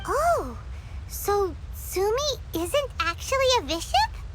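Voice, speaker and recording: Video game fairy companion, very high squeaky voice, animated, childlike, bubbly, exclamatory. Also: female voice, slow pace, heavy background noise, full character voice. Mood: chatty. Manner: refers to self in third person, exclamatory.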